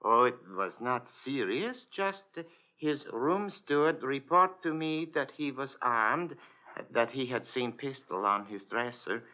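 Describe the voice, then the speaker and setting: An actor playing an obliging ship's purser with a Scandinavian accent, speaking polite, halting English in 1940s radio drama style. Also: male voice, mid-range voice, animated, natural voice. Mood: polite, hesitant. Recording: dull old radio recording.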